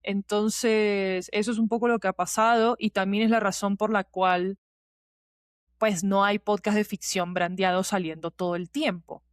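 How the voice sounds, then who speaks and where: A woman's voice: medium pitch, fairly even intonation, normal speed, dry recording, clean studio sound. A woman giving talkative, digressive interview answers as a podcast guest, with emphatic repetition. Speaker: podcast guest, scriptwriter and producer.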